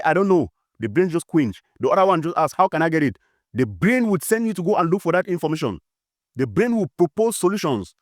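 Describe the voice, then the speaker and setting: Male motivational speaker, off the cuff, emphatic and repetitive, slightly high-pitched, dry recording. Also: dry recording. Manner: emphatic and repetitive, with frequent fillers. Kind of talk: unscripted motivational talk.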